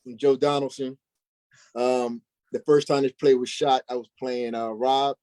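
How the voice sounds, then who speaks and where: A man's voice: mid-range voice, level tone, measured pace, dark tone. A man speaking as a stage actor in an interview, answering casually in a folksy, informal way.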